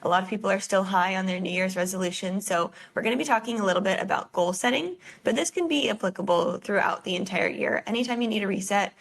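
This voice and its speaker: A woman hosts a casual podcast in an upbeat, welcoming way. Her voice carries a noticeable gravelly fry. She keeps the talk conversational and easy. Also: medium pitch, fast talker, few pauses. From Florida. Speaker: podcast host.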